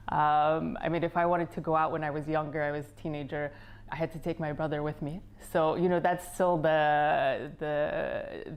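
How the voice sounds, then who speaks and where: An American woman in her forties, a physician and company founder, answering interview questions in a measured, thoughtful way with frequent ums. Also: low-pitched. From United States.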